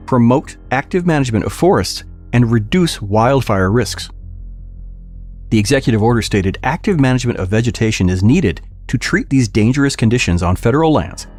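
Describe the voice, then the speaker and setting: Male narrator for document audiobook podcast. Lively, varied intonation, mid-range voice, faint music bed. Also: mid-range voice. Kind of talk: scripted audiobook-style reading of a policy document.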